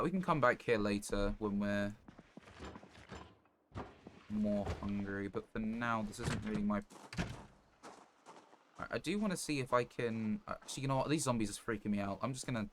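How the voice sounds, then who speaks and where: A man's voice: lowish voice, normal speed, pauses often. A man doing casual live gameplay commentary, thinking aloud in short fragments as he plays a survival game. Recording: clear background noise, clicking.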